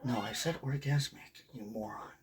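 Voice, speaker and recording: A man voicing a quiet sidekick boss character who speaks softly and tersely, with gentle insults, in a comedic vlog. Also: medium pitch, moderate pace, natural voice. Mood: gently scolding. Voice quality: soft, hushed.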